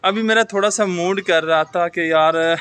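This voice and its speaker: A man's voice: sits high, normal speed, fairly bright tone, clear, resonant tone. A man vlogging in a casual, reflective, advice-giving way, mixing English words into his speech. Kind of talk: casual chat to camera. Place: outdoors in rainy weather.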